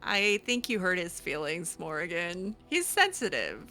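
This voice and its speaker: A woman voicing a kind, awkward young mage in improvised video game roleplay, speaking hesitantly and gently. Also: fairly low pitch, big pitch swings, measured pace, soft background music, heavily acted. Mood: gentle.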